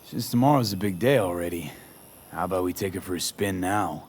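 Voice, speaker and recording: A man's voice: deep voice, brisk, a little mellow, slight background noise, close to an everyday voice. A man playing a streetwise mercenary in a dystopian video game, talking in a clipped, casual, dry way. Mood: casual interest. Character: terse, streetwise male mercenary in dystopian future.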